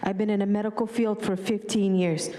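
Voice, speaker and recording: Earnest woman, mellow voice. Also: mid-range voice, little pitch movement. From Lebanon. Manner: earnest, measured, slight grammar slips. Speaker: public commenter, nursing assistant. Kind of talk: prepared public comment.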